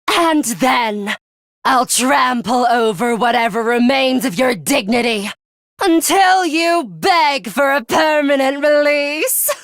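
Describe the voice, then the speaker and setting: A young female battle commander from a video game. She speaks slowly in a fairly high voice, haughty and sadistic, commanding with disdain. The sound is dry and close. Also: fairly bright tone, no echo, heavily acted. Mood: sadistic relish.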